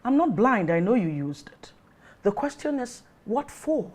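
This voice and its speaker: A woman's voice: fairly high pitch, sing-song, unhurried, darkish tone. An actress playing a suspicious, protective middle-aged mother in a film drama, with a Nigerian accent and a scolding, probing manner. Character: suspicious, strict, protective mother of a grown son.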